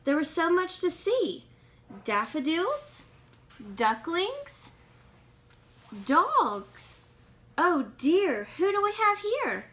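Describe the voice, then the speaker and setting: A woman's voice: very high voice, sing-song, extremely slow, long pauses, fairly bright tone. A woman reading a children's picture book aloud expressively, with questioning asides to young listeners. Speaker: read-aloud reader.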